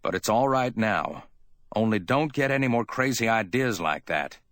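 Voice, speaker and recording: A man's voice: on the low side, lively intonation, moderate pace, fairly bright tone, no room sound, voice pushed up. Man playing a cool, clipped hardboiled tough guy. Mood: brisk, offhand. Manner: clipped, offhand, lightly amiable. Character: cool, wry hardboiled man, amiable but dismissive. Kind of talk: dramatic audiobook character reading.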